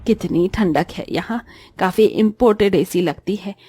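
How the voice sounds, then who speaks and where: A woman's voice: medium pitch, a little slow, warm tone, slightly rough, no echo. A woman voicing a greedy, scolding mother-in-law in a cartoon story, with a taunting, melodramatic manner. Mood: scheming, greedy. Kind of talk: Hindi cartoon story voice acting.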